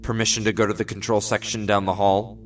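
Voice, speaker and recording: An actor playing an earnest, persistent, dutiful ensign in a science fiction audio drama. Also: male voice, lowish voice, little pitch movement, fast talker, far from the mic, soft background music, lightly acted. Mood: earnest, insistent. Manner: earnest, pleading with superior.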